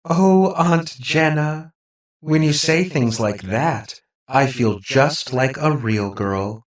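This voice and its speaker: A man's voice: medium pitch, takes it slowly, echoey room, recorded from a distance, voice pushed up, natural voice. A man voicing a cruel, taunting robot girl with a mocking, falsely sweet manner in an audiobook story. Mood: mocking sweetness.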